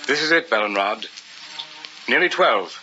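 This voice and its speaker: An actor playing a solemn, intense leader of an occult ritual in an old radio horror drama, giving orders with authority. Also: male voice, mid-range voice, animated, a little slow. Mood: commanding, intense. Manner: solemn, commanding. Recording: muffled old radio recording under loud music.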